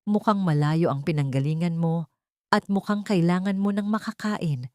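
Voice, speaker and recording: A female narrator acts out a kindly old woman who sells street food in an audiobook drama. She speaks gently and softly, with motherly kindness, on a dry, close recording. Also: lowish voice, normal speed, no room sound, close to an everyday voice. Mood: gentle concern. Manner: gentle, soft-spoken.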